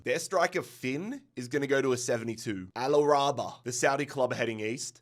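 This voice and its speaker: A man delivers excited gaming commentary as the host of a video. His voice is nasal and stuffed up with a head cold, sitting at a middling pitch.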